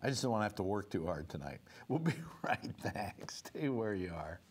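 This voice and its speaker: A man's voice: lowish voice, animated, moderate pace, background noise. An older man hosting a talk show from a studio set, chatting in a wry, rambling way with many fillers. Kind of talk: casual talk show banter.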